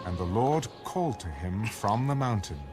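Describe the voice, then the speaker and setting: A man's voice: fairly low pitch, sing-song, moderate pace. A man narrating scripture in a scripted audiobook style. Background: music.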